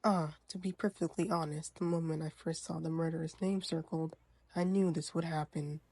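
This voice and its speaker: A woman voicing a composed, clever scholar from a game, with a measured, dry, faintly condescending fan-dub delivery. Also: sits low, steady tone, darkish tone.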